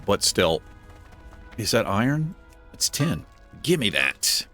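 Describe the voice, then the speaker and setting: A man with a deep voice hosting a gaming channel, chatting casually and self-deprecatingly while he plays. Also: sing-song, slow, a bit bright, soft background music. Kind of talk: casual gameplay commentary. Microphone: desk microphone.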